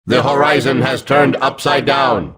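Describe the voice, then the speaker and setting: A man voicing a hulking combat robot in a video game, gruff and mechanical, giving clipped, vengeful commands. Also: mid-range voice, unhurried, background noise, natural voice. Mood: cold, commanding.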